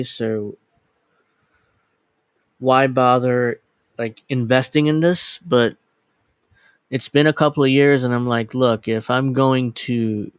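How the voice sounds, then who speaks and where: Smooth-voiced man rambling on a livestream. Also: medium pitch, dry recording, clean studio sound. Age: 30s. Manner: rambling, scattered, self-deprecating; casually profane. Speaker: livestream host. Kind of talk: casual livestream chat. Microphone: dedicated microphone.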